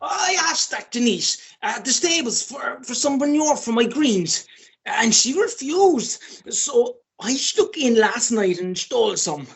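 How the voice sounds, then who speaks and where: A woman's voice: fairly high pitch, very animated, slow pace, creaky, exaggerated voice. A woman playing a hapless, flustered man who pleads and protests in a radio play. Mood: flustered, explaining.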